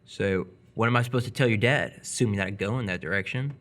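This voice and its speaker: A man's voice: lowish voice, fast talker, fairly bright tone, natural voice. An actor playing an earnest, awkward seventeen-year-old boy with a hesitant, rambling way of talking in live radio theatre. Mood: puzzled.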